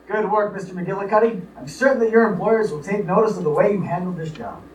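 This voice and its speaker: A man's voice: high voice, quick pace, natural voice. A man playing an indignant, conceited young rich bachelor in an old-time radio play, huffy and accusing. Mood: brisk, evasive. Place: live radio play performance in a roomy space. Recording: echoey room, far mics, faint noise.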